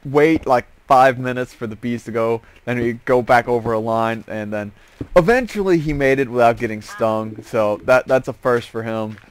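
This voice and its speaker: A man telling a casual story as he hosts gameplay, rambling and halting, often repeating and restarting his phrases. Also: medium pitch, smooth. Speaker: host of a gaming series.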